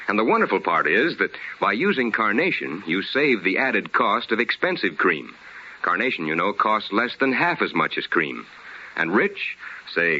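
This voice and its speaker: A man's voice: on the low side, bright, forceful tone. A man announcing on 1950s radio with folksy, polished salesmanship. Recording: muffled, hissy old radio recording.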